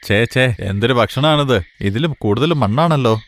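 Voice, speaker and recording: A man's voice: mid-range voice, moderate pace, lightly acted. A man voicing a blunt merchant customer in a cartoon moral story. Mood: disgusted, angry. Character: blunt male merchant, a demanding roadside customer.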